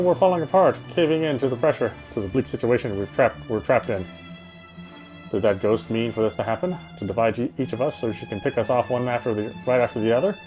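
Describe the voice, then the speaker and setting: A man reading a game's first-person story text aloud in a dramatic, brooding, expressive way. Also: on the low side. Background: game music.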